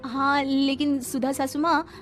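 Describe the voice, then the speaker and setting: A woman's voice: high voice, flat delivery, unhurried, faint music behind. A woman voicing a dutiful, flustered young daughter-in-law in a cartoon, hesitant and placating.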